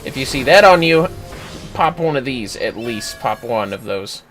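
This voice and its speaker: Male livestream host, casual gaming commentary, animated intonation, music underneath. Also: mid-range voice, normal speed. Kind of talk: casual game commentary and explanation. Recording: game music behind the voice. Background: game music.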